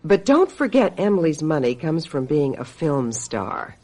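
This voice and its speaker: An actress in a radio drama playing a brisk, cutting, embittered female talent agent with a sardonic edge. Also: low voice, big pitch swings, darkish tone, heavily acted. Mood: bitter, biting. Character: sharp-tongued, embittered female talent agent, secretly a witch.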